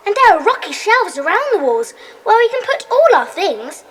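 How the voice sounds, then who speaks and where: Very high, bright, excitable schoolgirl. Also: normal speed, heavily acted, low-quality recording. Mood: excited. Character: eager, excitable young schoolgirl club member. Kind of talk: children's audio drama acting.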